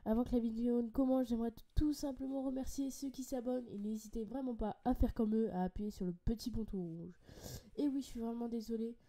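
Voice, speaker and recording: Young French female host, slightly congested, chatty hobby vlogger, warm dark voice. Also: on the high side, fairly even intonation, brisk. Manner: chatty and enthusiastic, many fillers and restarts. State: has a cold, a bit congested. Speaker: ant-keeping channel host. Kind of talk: casual screen-share product walkthrough.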